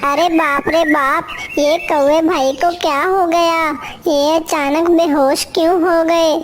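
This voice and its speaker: A woman's voice: very high voice, fairly even intonation, takes it slowly, a little hiss or hum, pitched above the performer's own voice. A woman voicing a small, earnest bird in a children's cartoon, with a plaintive manner. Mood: alarmed, concerned. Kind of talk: Hindi children's cartoon story dialogue.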